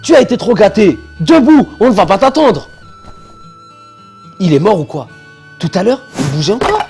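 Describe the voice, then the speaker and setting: A man voicing a bumbling, cowardly impostor healer in an animated religious story, blustering and easily flustered. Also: high voice, normal speed, soft background music. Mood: impatient, panicky. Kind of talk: French-language animated religious story dub.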